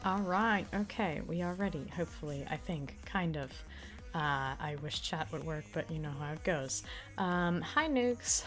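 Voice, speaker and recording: A woman streamer chatting casually with her viewers, rambling with many fillers, her throat still slightly raspy from an illness. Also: deep voice, lively intonation, normal speed, fairly bright tone, faint music behind. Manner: rambling, full of fillers and restarts. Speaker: streamer and audio creator. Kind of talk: casual livestream chat.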